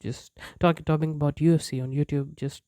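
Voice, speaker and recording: A man's voice: a little high, fairly even intonation, brisk, warm tone, no room sound. A young man who speaks English as a non-native language, rambling in a casual vlog with lots of fillers and restarts and frequent chuckles. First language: not English. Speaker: YouTuber. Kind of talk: casual unscripted vlog chat.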